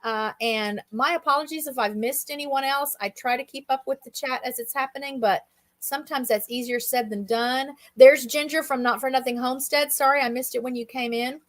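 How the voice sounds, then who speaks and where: High-pitched woman hosting a casual livestream. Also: steady tone, fairly quick. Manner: chatty, folksy. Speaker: livestream host. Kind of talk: casual chat, interviewing a guest.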